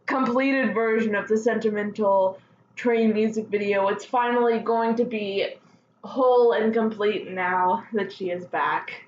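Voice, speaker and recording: A woman hosting a fan news show solo, chatting casually with gushing, excitable enthusiasm. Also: fairly high pitch. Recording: dull lo-fi sound, roomy echo, faint noise.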